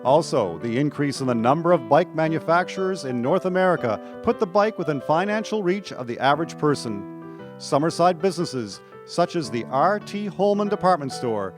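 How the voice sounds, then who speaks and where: A man's voice: fairly high pitch, animated, normal speed. A man narrating a radio drama from a script, as a historical storyteller. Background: acoustic guitar music.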